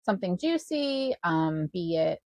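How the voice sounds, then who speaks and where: A woman's voice: on the low side, sing-song, measured pace, darkish tone, no room sound. A woman co-hosting a casual podcast, thinking aloud with fillers and laughing often. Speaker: podcast co-host.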